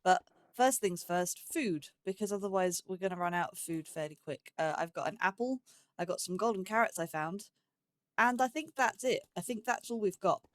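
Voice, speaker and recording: A woman's voice: medium pitch, normal speed, no room sound. A woman giving casual let's play commentary as the host of a gaming series, rambling and thinking aloud as she goes.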